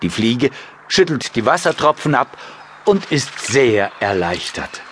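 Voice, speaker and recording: Male children's story narrator, medium pitch. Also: sing-song, a little slow, a bit bright, a little hiss or hum. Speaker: audiobook narrator.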